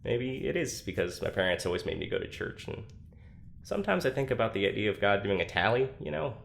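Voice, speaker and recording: Anxious young man, lowish voice, halting, self-deprecating, sardonic, confessional audio drama, audible background ambience. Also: very animated, gravelly, fairly bright tone, slight background noise, full character voice. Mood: self-doubting, despondent.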